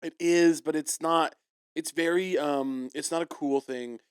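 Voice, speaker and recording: A man in his late twenties hosting a podcast at home, teasing his guest in quick, joking banter. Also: on the high side, steady tone, clear, resonant tone, no room sound, studio quality. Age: 20s. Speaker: podcast host. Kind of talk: casual chat. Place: home room.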